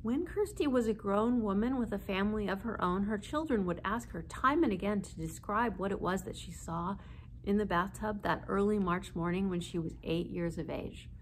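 A woman's voice: medium pitch, normal speed, poor audio. A woman in her forties reading a children's story aloud as narrator, seated indoors a short way from the camera. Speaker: library story reader. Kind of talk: children's book read-aloud. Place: small indoor room, seated. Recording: faint background noise.

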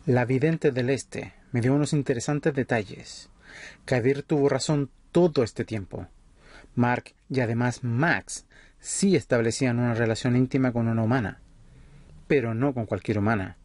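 A man playing an authoritarian vampire leader in an audio roleplay, speaking in a measured, condescending way. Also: mid-range voice, darkish tone, no echo, natural voice. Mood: cold, composed. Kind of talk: ASMR audio roleplay acting.

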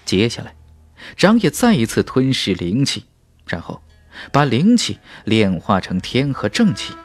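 A man narrating a fantasy audiobook in a dramatic, scripted style, with measured, emphatic phrasing. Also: mid-range voice, wildly swooping pitch. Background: faint music.

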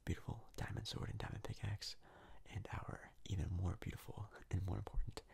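A man whispers his way through ASMR game commentary in a low, very breathy and husky voice. Heavy gravelly fry runs through it. He rambles hesitantly, often doubling up on words. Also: fairly even intonation, a little fast, darkish tone. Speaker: gaming video host.

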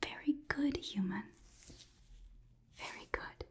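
A woman's voice: medium pitch, natural voice. Woman playing a whispering, condescending robot overlord. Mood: patronizing, pleased. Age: young adult. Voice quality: breathy whisper. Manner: slow, soothing, clinical, patronizing. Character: polite, condescending female AI robot overlord examining humans. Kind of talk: whispered ASMR roleplay. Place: small indoor room.

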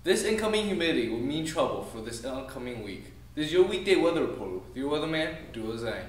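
A man in his twenties presenting a scripted weather report in a formal, measured reading. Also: mid-range voice, very animated, music in the background. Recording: echoey room, distant mic.

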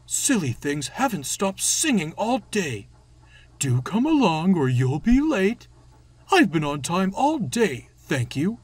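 A man voicing a pompous, fuming big steam engine in a storybook, with a fussy, forceful manner. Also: high voice, big pitch swings, slow, husky, no room sound, voice pushed up, heavily acted. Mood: exasperated.

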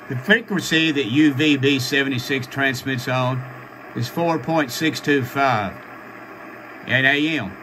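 A man in his sixties with an American accent, explaining informally to camera in a halting, matter-of-fact way. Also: mid-range voice, background noise. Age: 60s. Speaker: ham radio vlogger. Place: small home radio room, indoors.

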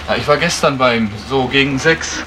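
An actor playing an earnest, stubborn young man who worries about his missing uncle in a German radio crime drama, speaking haltingly. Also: medium pitch, close to an everyday voice. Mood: polite, uneasy. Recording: clearly noisy old radio play recording.

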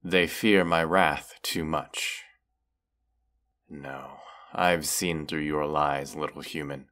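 A man's voice: very deep voice, fairly even intonation, sharp tone, full character voice. A man playing a proud, menacing, weary dragon who distrusts humans, talking haughtily in a fantasy audio roleplay. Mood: scornful. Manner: slow, deliberate, haughty, with long pauses. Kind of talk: scripted audio roleplay monologue.

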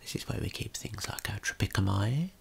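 A man giving a guided ASMR tour in a soft, breathy whisper, gently explaining without hurry. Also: fairly low pitch, expressive, a little fast.

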